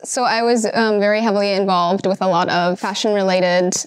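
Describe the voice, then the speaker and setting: Young woman, student interview, chatty with fillers, medium pitch, dry. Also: flat delivery, brisk, clear, resonant tone, dry recording. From Seattle, Washington. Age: young adult. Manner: chatty, lots of um and you know. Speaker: fashion student. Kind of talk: interview answers to camera. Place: bright classroom with large windows.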